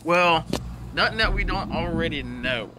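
A man's voice: fairly high pitch, expressive, normal speed, over a noisy crowd. A man in casual role-play game conversation who tends to repeat his phrases, over a noisy voice chat.

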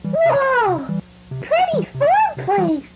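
A performer voicing a hyperactive, childish, silly cartoon bunny with excitable, repetitive, drawn-out exclamations. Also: very high-pitched, loud music behind the voice, exaggerated voice. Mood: overexcited.

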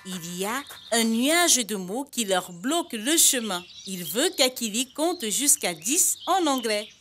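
A woman's voice: fairly high pitch, big pitch swings, a little slow, a bit bright, a little hiss or hum. A woman narrating a children's educational cartoon, telling the story expressively and simply, like a teacher. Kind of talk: scripted storytelling narration for preschoolers.

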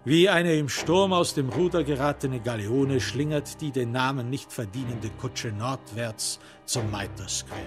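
A man narrating a scripted crime radio drama in a measured, literary and factual way. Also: medium pitch. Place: studio.

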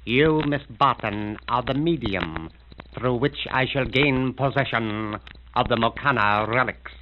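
An actor playing a cold, scheming master criminal who speaks with slow, measured politeness, on an old radio transcription. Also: male voice, medium pitch, lightly acted. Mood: coldly polite. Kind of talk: 1930s radio acting. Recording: narrow-band, hissy 1930s radio transcription.

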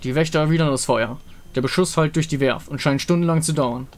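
A man's voice: a little high, brisk. A man reading scripted story narration as the narrator of a fantasy tale.

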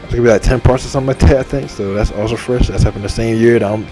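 A man in his twenties talking casually and repetitively over a game, enthusiastic and full of shout-outs. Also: mid-range voice, very fast. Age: 20s. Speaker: gaming channel host. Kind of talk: rambling casual monologue over game menu. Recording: faint game music behind. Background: faint game menu music.

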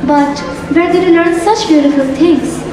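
A woman's voice: very high voice, fairly even intonation, moderate pace, music in the background, a crowd in the background. A young woman acting an eager, adoring young woman on stage, wide-eyed and exclaiming. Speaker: student actor in a stage play. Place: large auditorium stage. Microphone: distant stage mic. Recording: echoey auditorium from far off.

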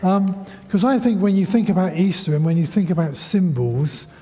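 A middle-aged man with a fairly high but soft, mellow voice addresses a church congregation informally. He talks conversationally, with some hesitation, and the large hall rings around him. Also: moderate pace. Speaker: church service leader. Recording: echoey church hall with background noise.

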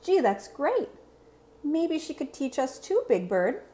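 A woman's voice: high-pitched, very animated, slow, dark tone, pitched above the performer's own voice, heavily acted. A woman voicing a small, curious, childlike monster in a storybook. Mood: eager, curious. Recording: clear background room noise.

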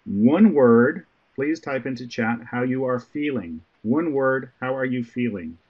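A man's voice: mid-range voice, animated, measured pace, slightly rough. A man from Ireland with a Southern American accent, presenting a webinar in a conversational way with frequent restarts. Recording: muffled online call audio.

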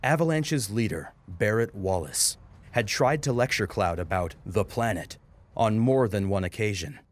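A man's voice: fairly low pitch, animated, normal speed. A man narrating a scripted story as a dramatic audiobook reader. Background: music.

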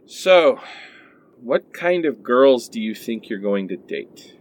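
A man's voice: medium pitch, animated, slow pace, slight background noise. A man playing an amiable, sardonic husband in a radio play. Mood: accepting, wry. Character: amiable, sardonic husband making peace with heartbreak.

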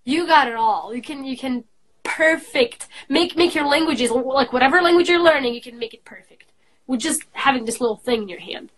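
A young woman talking in a lively, effusive way on a live video call from a small room. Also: sits high, a little hiss or hum, poor audio. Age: young adult. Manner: lively, effusive, quick with exclamations. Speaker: guest. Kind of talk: casual live video chat.

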